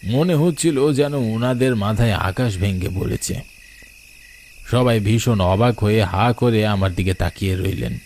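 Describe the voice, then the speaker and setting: A man's voice: lowish voice, a little slow, darkish tone, a little hiss or hum. Man narrating a horror tale over crickets. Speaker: storyteller and narrator. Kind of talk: dramatic first-person horror story narration. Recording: night crickets and insects behind.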